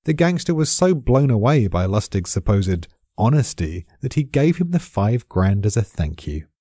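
A man's voice: on the low side, very animated, moderate pace, mellow, breathy edge, no echo, clean studio sound. A British man giving scripted explainer narration, in a wry, erudite storyteller's manner. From Britain. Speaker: expert narrator.

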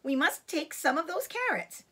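A woman's voice: high voice, sing-song, moderate pace, a bit bright, voice pushed up. A woman voicing a small, earnest young male fox in a storybook, eager and pleading.

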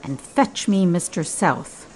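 A cold ruthless lady boss, curt, low voice that swings a lot, audio drama. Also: expressive, slow, darkish tone, a little hiss or hum, rough recording. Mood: cold, commanding. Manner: curt, imperious. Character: cold, commanding, ruthless woman in charge.

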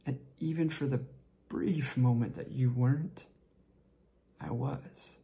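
A man performing a dramatic monologue as a tipsy, lovesick young man confessing to a friend, halting and repetitive, with a creaky voice that often drops toward a whisper. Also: medium pitch, fairly even intonation, warm tone, lightly acted. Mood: pleading, hesitant. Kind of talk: dramatic stage monologue rehearsal.

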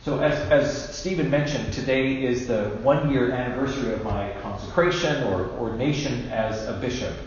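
A man in his fifties, a bishop giving a measured, deliberate sermon with emphatic pauses. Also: medium pitch. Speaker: bishop preaching. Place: indoors in a church at a lectern. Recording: very echoey church, dull sound, faint noise.

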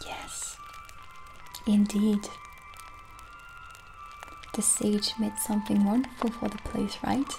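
A woman in her thirties doing a gentle, soft-spoken ASMR roleplay as a witch shopkeeper, unhurried and often slipping close to a whisper, in a small room. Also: medium pitch, flat delivery, creaky, low-quality recording. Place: small dim indoor room set as a candlelit shop. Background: original music.

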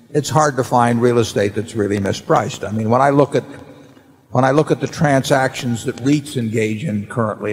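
A man's voice: mid-range voice, a little mellow. An older man on stage at a table answering a question, rambling and repeating words as he talks. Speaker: company chairman answering questions. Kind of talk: unscripted answer at shareholder meeting. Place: large hall on stage at table. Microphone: table mic. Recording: long hall reverb.

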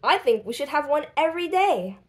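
An excitable storybook boy, voiced by a woman, naive and eager. The voice is high and swoops up and down with childlike wonder. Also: fairly quick.

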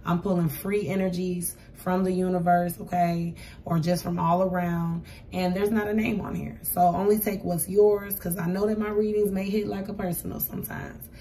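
A hoarse, raspy woman giving a casual tarot reading at a table, chatty and repeating "okay?" to her viewers. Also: lowish voice, little pitch movement, moderate pace, hardly any pauses, dark tone, distant mic. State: hoarse, voice going for days. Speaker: tarot reader. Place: indoors at a table with cards and crystals. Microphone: camera across the table.

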